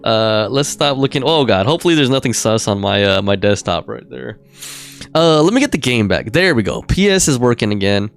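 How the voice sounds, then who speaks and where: A man's voice: medium pitch, expressive, a little fast, creaky, clean tone, soft background music. A man livestreaming a game, chatting casually in a rambling, slangy way as he thinks aloud.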